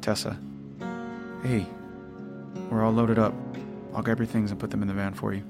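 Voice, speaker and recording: A man's voice: fairly low pitch, level tone, moderate pace, over music, lightly acted. A man playing a weary, protective survivor father in an audio drama, plain-spoken and earnest. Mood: quiet, caring. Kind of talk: naturalistic audio drama acting.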